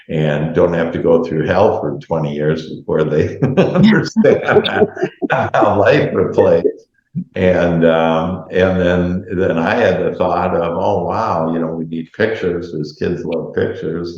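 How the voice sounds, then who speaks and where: A man hosting a casual video chat, rambling and halting with lots of fillers. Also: sits low, darkish tone, reverberant, muffled recording. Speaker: host.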